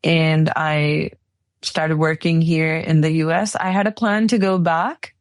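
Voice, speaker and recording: A woman in her forties from Pakistan, telling her life story in a relaxed interview. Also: low voice, a little slow, dry recording, studio quality. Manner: relaxed storytelling with light laughs. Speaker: guest, engineering executive. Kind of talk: casual interview answers.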